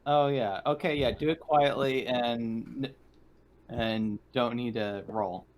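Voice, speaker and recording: A man with an average-pitched voice talks casually at a tabletop game. He speaks as one of the players, conversational and unscripted. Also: fairly even intonation, slow pace.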